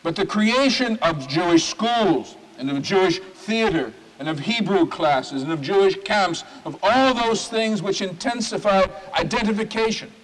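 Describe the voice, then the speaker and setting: A man, a rabbi, giving a sermon in an oratorical manner, stacking phrases with emphatic pauses. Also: sits high, fairly bright tone. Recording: reverberant hall recording.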